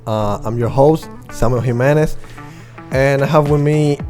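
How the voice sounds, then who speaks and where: Brief: young male host, dark low voice, hesitant fillers, faint music behind. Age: young adult. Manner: halting, many "uh" fillers. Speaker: podcast host. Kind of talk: casual podcast interview.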